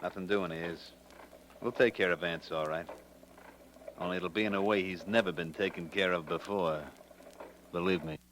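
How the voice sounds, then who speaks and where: A man's voice: sits low, steady tone, moderate pace, pauses often, lightly acted. An actor playing a cocky crooked print-shop boss with boastful, slangy tough-guy talk in a 1940s radio crime drama. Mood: menacing. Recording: noisy old radio recording.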